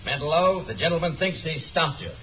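An actor playing a brash, showy American carnival barker with a bossy, pushy manner in a 1950s radio drama. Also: male voice, a little high, lively intonation, moderate pace, a little hiss or hum, natural voice. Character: brash, fast-talking carnival barker running a mind-reader act. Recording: dull old radio, crowd and music.

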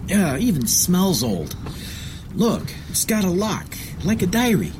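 A man's voice: sits high, huge pitch swings, a little slow, background noise, full character voice. A man acting in an audio drama as a dim, eager small-time crook, slangy, hesitant and wheedling. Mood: unimpressed.

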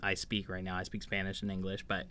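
A man's voice: sits low, monotone, quick pace, sharp tone, clear, resonant tone. A man hosting a podcast in a casual, conversational style. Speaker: podcast host.

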